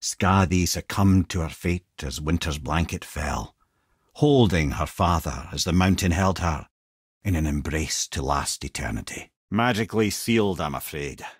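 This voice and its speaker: Old Scottish sage, game character, husky, very animated pitch, wry garrulous storyteller, dry close sound. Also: male voice, measured pace, no echo, full character voice. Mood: explaining. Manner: wry, wordy, theatrical storytelling.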